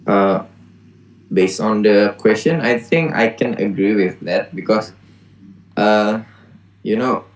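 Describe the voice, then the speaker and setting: A man's voice: lowish voice, slow, pauses often, rough recording. A young man from Indonesia with an Indonesian accent, answering interview questions hesitantly, English not his first language. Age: young adult. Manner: hesitant, many "like" and "you know" fillers.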